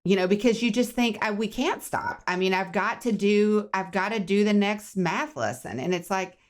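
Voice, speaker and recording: A woman's voice: medium pitch, normal speed. A woman guest in a candid personal conversation, talking frankly and with some emphasis. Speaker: podcast guest.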